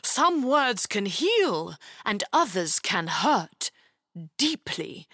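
Woman as earnest little knight, slow and animated. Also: mid-range voice, no room sound, clean studio sound, full character voice. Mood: grave, gentle. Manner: earnest, solemn, flustered at times. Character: tiny earnest male knight, gallant protector of children. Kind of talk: dramatised children's fairy tale reading.

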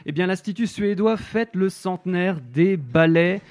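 A man interviewing a guest on a French radio show, halting and restarting often. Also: high-pitched, a little mellow. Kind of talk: presenting an exhibition and guest.

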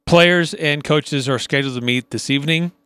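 A man's voice: a little high, moderate pace, a bit bright. A man with an American accent co-hosting a sports talk radio show, giving analysis and chat.

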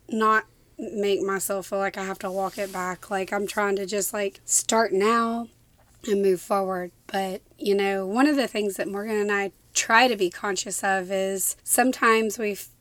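A young woman, hoarse from laryngitis, chatting casually as podcast co-host and laughing often. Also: mid-range voice, steady tone, measured pace, dry recording. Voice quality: raspy. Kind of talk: casual chat. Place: small closet in a home.

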